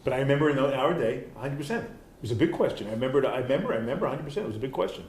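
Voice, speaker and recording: A man's voice: medium pitch, expressive, very fast, a little mellow. A man interviewing siblings, with short agreeing interjections. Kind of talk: oral history interview. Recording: echoey room with faint noise.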